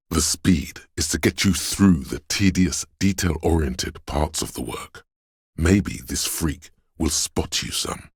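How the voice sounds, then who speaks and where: A man playing a seductive inner voice of addiction in a video game, narrating dramatically in a gravelly, savoring, coaxing way. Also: no room sound, clean studio sound, heavily acted. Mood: urging, sly. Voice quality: gravelly, husky bass.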